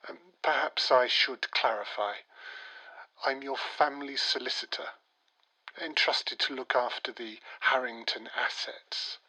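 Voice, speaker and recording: A man's voice: medium pitch, steady tone, unhurried, bright, forceful tone, poor audio. A man playing a courteous, evasive older family solicitor in an audio drama, speaking formally and a little hesitantly. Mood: formal, delicate. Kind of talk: naturalistic audio drama acting.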